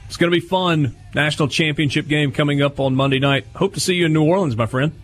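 A man's voice: a little high, fast talker. A man in his late thirties hosting a sports radio show, asking questions in an easygoing, conversational way. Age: 30s.

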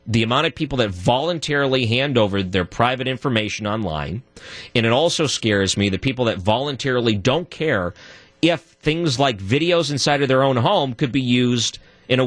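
A man with a deep voice, guest hosting a talk radio show and arguing his point alone, his voice jumping higher when he gets worked up. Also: normal speed, dry recording. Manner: animated, voice jumps high when worked up. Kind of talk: solo talk radio monologue.

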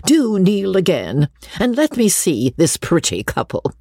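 A woman's voice: on the low side, big pitch swings, measured pace, dark tone, slightly rough, dry recording, studio quality, pitched above the performer's own voice, exaggerated voice. Woman playing a haughty old lady, swooping intonation. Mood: scornful. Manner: imperious, indignant.

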